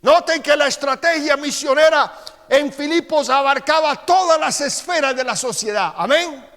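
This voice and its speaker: A middle-aged man with a Costa Rican accent preaching a sermon as pastor. He reads Scripture slowly and with emphasis, then turns quieter as he tells personal stories. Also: extremely high pitch. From Costa Rica. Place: church sanctuary. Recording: long church-hall reverb.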